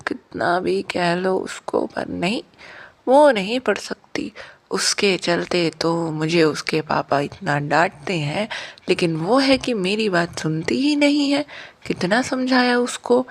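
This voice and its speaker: Lady doing aggrieved mom in a kids story, middle pitch, always justifying herself. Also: creaky. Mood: exasperated. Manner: complaining, self-justifying. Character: defensive, aggrieved mother of a playful girl. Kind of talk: animated moral story dialogue.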